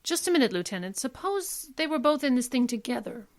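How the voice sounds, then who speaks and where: An actress playing a confident, shrewd defense attorney in an old-time radio drama. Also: female voice, high-pitched, big pitch swings, brisk, a little mellow. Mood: composed, probing.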